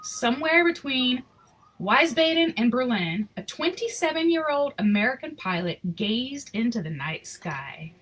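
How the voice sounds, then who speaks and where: A woman reading a picture book aloud in an expressive storytelling style, with casual, informal asides. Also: high-pitched, a little slow, slight background noise, poor audio. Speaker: reader of picture books aloud.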